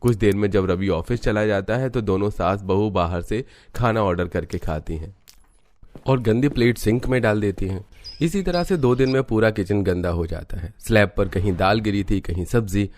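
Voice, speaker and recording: A man narrating a cartoon story in a steady, scripted storytelling manner. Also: on the low side, moderate pace.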